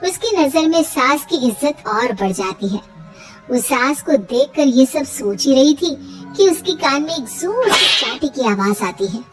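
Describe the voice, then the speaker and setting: Female storyteller for cartoon narration: high register, scripted read, a bit away from the mic. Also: lively intonation, measured pace, recorded from a distance, music in the background.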